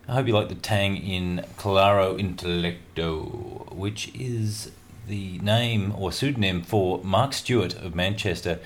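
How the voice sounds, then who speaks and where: A man's voice: sits low, slight background noise. A man hosting a radio show, chatting and joking casually between tracks with lots of fillers. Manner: chatty, jokey and rambling, with many fillers.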